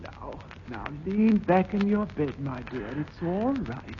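A gentle, soothing carer. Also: female voice, lowish voice, very animated, takes it slowly, slight background noise. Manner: gentle, reassuring. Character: soothing woman calming a frightened patient. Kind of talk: 1940s radio drama acting. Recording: muffled archive radio in a reverberant room, far off.

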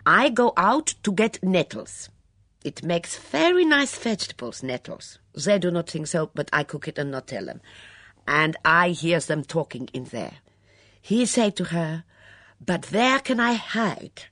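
A woman voicing an excitable foreign cook who speaks broken English, emphatic and repeating her words. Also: low voice, slow, no room sound, studio quality, voice pushed up, exaggerated voice. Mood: indignant.

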